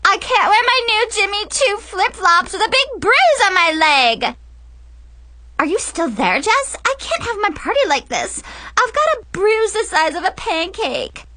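A woman's voice: high-pitched, big pitch swings, takes it slowly, pushed and bright, no echo, pitched above the performer's own voice, exaggerated voice. Woman doing a whiny, dramatic teenage girl. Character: bossy spoiled teenage girl on the phone.

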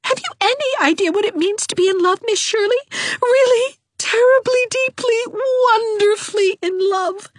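A high, quavering young woman in a storybook reading, weeping and overwrought, drawing out every word with theatrical self-pity. The voice sits far above the reader's own, slow and tear-choked. The recording is dry and close. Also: no echo. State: crying. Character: melodramatic young woman, weepy and self-pitying.

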